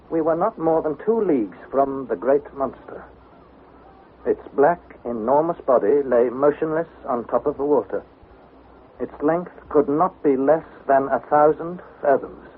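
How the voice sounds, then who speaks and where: A man's voice: medium pitch, narrow, tinny audio, close to an everyday voice. An actor playing an earnest, anxious young nephew, precise and dramatic as he narrates and acts in an old radio adventure. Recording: thin, noisy vintage radio recording.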